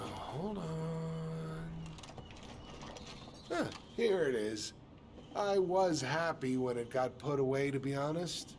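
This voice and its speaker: A man's voice: a little high, expressive, slow. A man playing an easygoing, wry, helpful store worker in a video game cutscene. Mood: amused, nostalgic. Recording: clearly noisy game stream, ambience.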